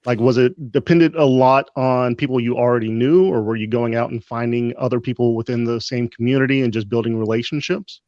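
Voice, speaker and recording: A man's voice: medium pitch, fairly quick, few pauses, dry recording. Man, host, interview questions, hesitant, dull lo-fi dry sound. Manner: relaxed, hesitant with "uh". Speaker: podcast society host.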